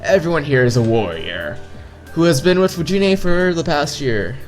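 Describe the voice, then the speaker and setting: Lively man reading a visual novel aloud. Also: fairly high pitch, normal speed. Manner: playful, stumbles over words. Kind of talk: casual read-aloud narration with side comments. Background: visual novel background music.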